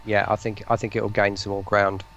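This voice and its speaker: Low, creaky-voiced man on a livestream. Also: flat delivery, a little fast, a crowd in the background, low-quality recording. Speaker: voice pack developer, interview guest. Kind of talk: casual livestream discussion.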